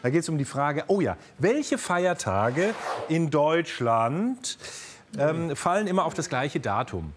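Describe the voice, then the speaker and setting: A man's voice: a little high, big pitch swings, slow, noisy background. A man hosting a quiz show, explaining answers in an unscripted way.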